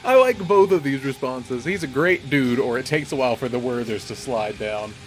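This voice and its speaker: A man's voice: medium pitch, normal speed, slight background noise. A man hosting a horror game, mixing playful, wisecracking commentary with story reading. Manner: animated, wisecracking.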